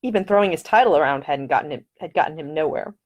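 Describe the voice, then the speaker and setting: Woman author-reader for fiction audiobook. Animated, widely varied intonation, dramatic storytelling. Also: sits low, fast. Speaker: author reading their own novel. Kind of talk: expressive audiobook narration.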